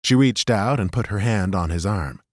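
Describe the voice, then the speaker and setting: A man reads a fantasy audiobook in a steady, even storytelling manner. His voice sits low, and the studio sound is dry and close. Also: moderate pace, no echo. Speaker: audiobook narrator. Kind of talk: scripted fantasy narration.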